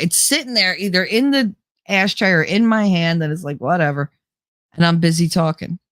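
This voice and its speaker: A woman's voice: fairly low pitch, dry recording. A young adult woman hosting a livestream, chatting casually and rambling, a little nasal from a cold, close to the microphone. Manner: rambling, chatty, laughs often. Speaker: livestream host. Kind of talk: casual chat reading live comments. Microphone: close desk microphone.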